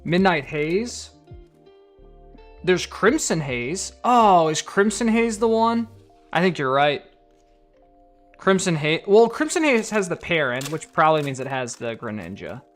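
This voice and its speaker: A man's voice: fairly high pitch, very animated, measured pace, soft background music. A man chatting casually on a live stream, reading viewer donations and comments aloud. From United States. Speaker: card collector streamer.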